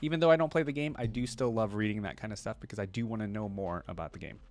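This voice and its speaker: A man giving casual reaction commentary as a video host, informal and rambling as he thinks out loud. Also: on the low side, rapid-fire.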